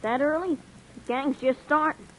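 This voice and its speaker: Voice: high voice, moderate pace, fairly bright tone, a little hiss or hum, poor audio. A mouthy street kid. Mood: sleepy. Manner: cocky street slang, sassy comebacks. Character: defiant streetwise boy, young teen, mouthy. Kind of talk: 1950s radio drama acting.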